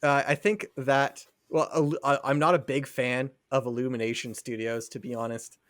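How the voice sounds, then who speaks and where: A man's voice: medium pitch, little pitch movement, normal speed, fairly bright tone, no echo, clean studio sound. A man co-hosting a podcast in casual chat, thinking aloud a little hesitantly. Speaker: podcast co-host.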